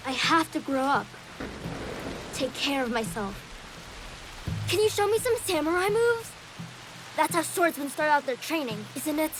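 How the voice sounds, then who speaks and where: High-pitched, earnest young boy. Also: sing-song, unhurried, pauses often, noisy background. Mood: eager. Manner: earnest, respectful, boyish. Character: earnest, eager young boy, a samurai's devoted pupil. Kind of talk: video game cutscene acting.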